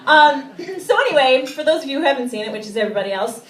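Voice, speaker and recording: High-voiced woman bantering onstage, echoey and distant. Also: lively intonation, racing. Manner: chatty, giggly, self-interrupting. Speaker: cabaret singer introducing a song. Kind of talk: casual, rambling stage banter with audience. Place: small cabaret stage before an audience. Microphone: distant audience camera. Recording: echoey live hall recorded from afar.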